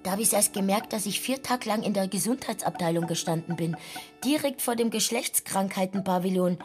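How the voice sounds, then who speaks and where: A woman's voice: medium pitch, brisk, darkish tone, soft background music, close to an everyday voice. An actress playing a chatty, over-familiar streetwalker down on her luck in a radio drama, rambling on. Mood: chatty, plaintive.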